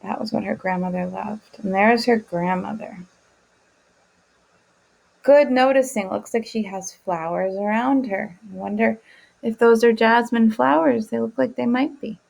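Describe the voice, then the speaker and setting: A woman's voice: a little high, measured pace, creaky, darkish tone, low-quality recording. A woman teacher leading an interactive picture-book lesson for children, encouraging them and prompting with questions. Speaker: teacher reading aloud to children.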